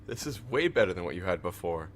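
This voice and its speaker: Low-voiced, gentle, reserved man. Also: sing-song, fast, a little hiss or hum. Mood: soft, sincere. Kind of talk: naturalistic audio drama dialogue.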